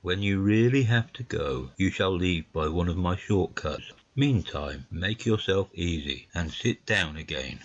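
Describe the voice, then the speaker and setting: An actor playing a placid, wise old male badger, gruff and measured, in a dramatised audiobook. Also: low voice, expressive, lo-fi sound. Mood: reassuring, placid. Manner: measured, storytelling, unhurried. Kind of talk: dramatised audiobook of classic fiction.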